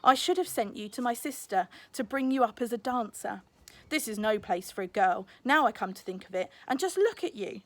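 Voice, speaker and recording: A woman's voice: on the high side, lively intonation, brisk, no echo. A woman voicing a stern, fretful father who is a master craftsman, blustering and exclaiming as in a storybook. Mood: fretful, scolding.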